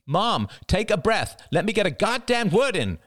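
Casting: male reader as frantic young mum, lifted pitch, pleading and exasperated, audiobook drama. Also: very animated, normal speed, a bit bright, dry recording, clean studio sound. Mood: strained, exasperated. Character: anxious, exasperated young mother on the phone. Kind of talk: audiobook character voice.

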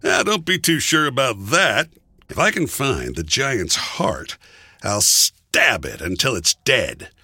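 A storybook trickster coyote, played by a man, cocky and unfazed by any danger. The voice is bright and a little husky, swinging freely in pitch as it tosses out flippant, casual lines. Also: sing-song, takes it slowly, no room sound, clean studio sound, heavily acted. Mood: cheerful, cocky. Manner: breezy, flippant, casual slang.

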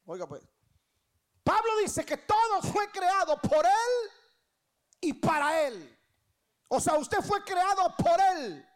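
A woman preacher in her thirties with a Guatemalan accent and an extremely high pitch. She delivers a sermon with emphasis, repeating her key phrases and posing rhetorical questions to the congregation. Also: very animated, slow pace, long pauses. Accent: Guatemalan Spanish. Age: 30s. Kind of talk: church sermon.

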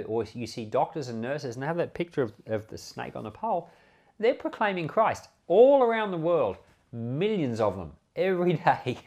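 Australian pastor with very animated pitch. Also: male voice. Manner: conversational, explanatory, with rhetorical questions. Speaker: pastor and Bible podcast host. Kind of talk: informal sermon, explaining scripture.

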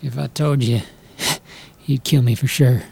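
Meek, remorseful frontier brother, played by a male actor, slow and heavily creaky, for an animated film. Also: medium pitch, full character voice. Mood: sheepish. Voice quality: gravelly, husky. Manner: halting, meek, deferential. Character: troubled, apologetic younger brother in an 1890s timber camp. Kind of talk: dramatic acting for an animated storyboard film.

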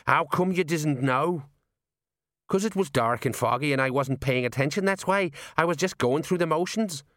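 A man voicing a tiny, scrappy male gnome ratcatcher who talks in rough, cheeky dialect. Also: a little high, moderate pace, fairly bright tone, no echo, clean studio sound, voice pushed up, natural voice. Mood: cheeky, cocky.

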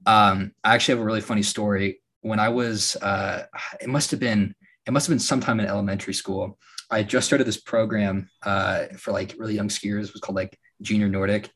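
A young man from Alaska hosting a podcast, telling stories in a relaxed way with lots of fillers and a slightly raspy voice. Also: fairly low pitch, fairly quick. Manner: relaxed storytelling with frequent fillers and laughs. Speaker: podcast host. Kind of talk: casual podcast chat.

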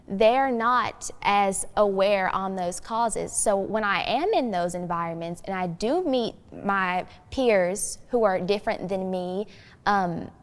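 A woman's voice: mid-range voice, slow pace. Teenage girl in a reverberant space. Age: teens. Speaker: youth guest. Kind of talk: thoughtful spoken discussion. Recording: long hollow room reverb.

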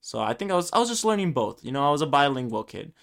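A man's voice: a little high, very animated, fast, gravelly, fairly bright tone. A man in his twenties, a Spanish-speaking Brooklyn native, rambling alone on his podcast with many ums and restarts. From Brooklyn, New York. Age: 20s. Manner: rambling, self-deprecating, lots of ums and restarts. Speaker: podcast host. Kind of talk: solo casual podcast monologue.

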